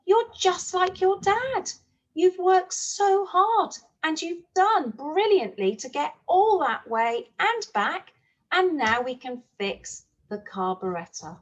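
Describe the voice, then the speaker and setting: A woman's voice: a little high, sing-song, takes it slowly, dull audio, heavily acted. A woman voicing a farmer mother in a children's story, speaking earnestly and emphatically. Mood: proud. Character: farmer mother, anxious, later proud.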